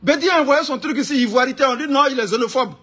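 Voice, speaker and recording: A man with an Ivorian accent speaks in a high-pitched, raspy-edged voice. He rants informally about his views in an indignant, emphatic way, often hammering a phrase by repeating it. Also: fast talker, fairly bright tone. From Ivory Coast. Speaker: online political commentator. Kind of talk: informal political rant to camera.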